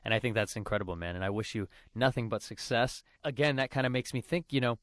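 A man's voice: mid-range voice, fast talker, a bit bright, dry recording, clean studio sound. A man interviewing a guest in an upbeat, enthusiastic way with lots of fillers. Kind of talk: casual conversation.

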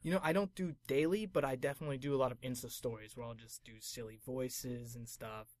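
A man's voice: mid-range voice, steady tone, brisk, darkish tone, no echo. A man from Taiwan who works as an actor, chatting casually as an interview guest and laughing easily.

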